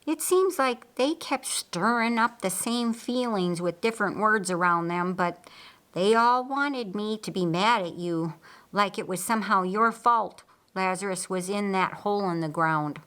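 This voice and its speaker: Woman voicing an earnest, frank female disciple, unhurried. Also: mid-range voice, a bit bright, natural voice. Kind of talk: audiobook character dialogue.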